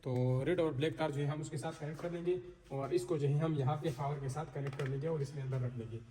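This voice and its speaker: A man's voice: a little high, fairly even intonation, quick pace, mellow. A man giving an informal hands-on tutorial as he works, explaining step by step and repeating himself. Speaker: installer presenting a tutorial. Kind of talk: hands-on tutorial narration while working. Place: on an installation site. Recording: clear background noise on location.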